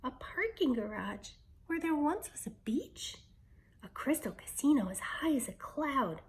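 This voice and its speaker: A woman's voice: high voice, big pitch swings, a little slow, voice pushed up, heavily acted. A woman voicing a pompous storybook property developer with a grand, haughty manner.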